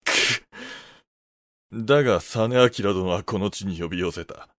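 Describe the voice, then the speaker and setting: An actor playing a haughty, hot-tempered samurai conspirator in a period audio drama, with gruff, commanding archaic speech. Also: male voice, sits low, a little slow, no echo, studio quality. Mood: irritated.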